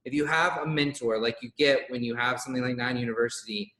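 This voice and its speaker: A man in his twenties co-hosting an online interview, energetic and full of praise for his guest. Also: medium pitch, fast. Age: 20s. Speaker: co-host interviewer. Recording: roomy echo.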